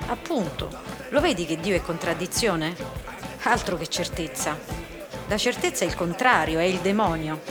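A dry, irritated hostess played by a woman speaks in a fairly low voice. She argues and confides in radio drama style, with music clearly audible behind her. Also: expressive, moderate pace, lightly acted. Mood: argumentative, sardonic. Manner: dry, argumentative. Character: Maria, dry, irritated, argumentative woman hosting a party. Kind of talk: radio drama acting with inner monologue.

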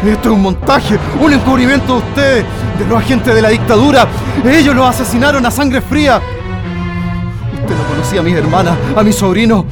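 A man's voice: very high voice, lively intonation, brisk. An actor with a Chilean accent playing a defiant male political prisoner in a radio drama, earnest and emphatic. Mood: furious accusation. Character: defiant male political prisoner under a dictatorship, grieving and organizing resistance. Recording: music bed under the voice.

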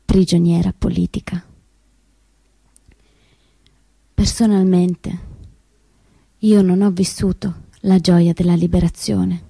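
Casting: woman playing a resolute survivor, soft dark tone, husky edge, solemn measured stage monologue. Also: on the low side, dry recording, heavily acted. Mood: grave. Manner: solemn, deliberate, broken phrasing. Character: grave female Auschwitz survivor recalling deportation, resolute. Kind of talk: theatrical testimony monologue.

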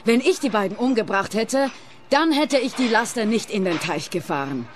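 A woman's voice: a little high, expressive, normal speed, natural voice. Woman as impassioned, defiant would-be trucker, noisy backdrop. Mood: defiant, yearning. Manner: impassioned, dramatic. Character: passionate, defiant woman yearning to drive trucks. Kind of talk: German-language radio play acting. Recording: clear ambient background noise.

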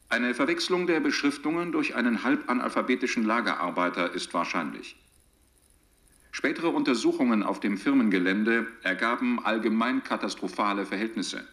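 An actor playing a documentary narrator in a radio drama, reporting gravely and factually in a formal, measured manner. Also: male voice, medium pitch, fairly bright tone.

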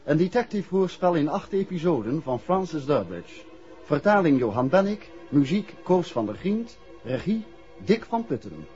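A man's voice: a little high, very animated, moderate pace. A man making scripted title announcements for a 1960s radio drama. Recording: dull vintage radio recording, music behind. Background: music.

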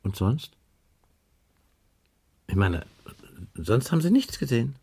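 An actor in a German radio crime play playing a patient, wry police inspector who questions in a dry, probing way. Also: male voice, mid-range voice, sing-song, slow pace, a little mellow, breathy edge, heavily acted. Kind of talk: 1980s German radio drama dialogue.

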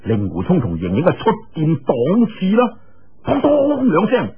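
A man's voice: fairly high pitch, wildly swooping pitch, moderate pace, husky, narrow, tinny audio. A man with a Cantonese accent telling a martial-arts story in a dramatic, colloquial audiobook style, full of exclamations.

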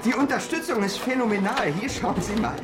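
A young woman, played by an actress with a fairly high voice. She is a zealous campaigner in a radio play, speaking in fervent, emphatic slogans. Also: lively intonation, a little fast, over loud music, lightly acted. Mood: fervent, proud. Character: zealous young daughter campaigning for her candidate father. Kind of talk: radio drama acting, campaign interview.

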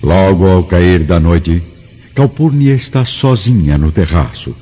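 A man's voice: sits low, unhurried, dark tone, slightly rough. A man narrating a radio drama from a script in a solemn, literary manner. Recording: muffled studio recording with music. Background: music.

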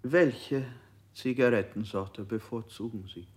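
An actor playing a calm male interrogator in a 1960s radio play. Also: medium pitch, a little slow, heavy background noise, lightly acted. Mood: probing. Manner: calm, probing questions. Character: cold male interrogator, middle-aged.

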